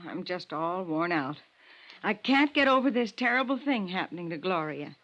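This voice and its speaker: A woman's voice: lowish voice, expressive, moderate pace, a bit bright, muffled recording. Plaintive, worn-out woman, vintage radio. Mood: weary, shaken. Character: tired, distressed female witness, a murdered girl's acquaintance. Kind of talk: 1950s radio drama acting. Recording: old radio recording, faint noise.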